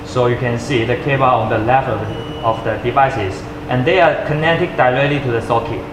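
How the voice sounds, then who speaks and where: A man who speaks English as a second language gives an unscripted product demonstration, haltingly searching for words with uneven grammar. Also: mid-range voice. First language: not English. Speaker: product presenter. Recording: echoey room with clear background noise.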